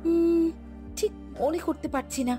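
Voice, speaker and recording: A woman's voice: very high voice, a little slow, warm tone, faint music behind. A woman playing an anxious but determined young winged fairy princess in a cartoon. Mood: puzzled, fearful. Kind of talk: dramatic animated fairy tale acting.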